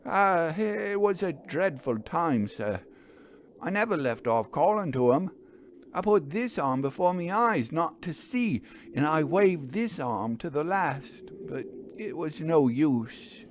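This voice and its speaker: A man's voice: a little high, lively intonation, slow pace, a little hiss or hum, voice pushed up. A man voicing a distraught, deferential working train driver in a dramatic story reading, urgent and colloquial. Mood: distraught, pleading.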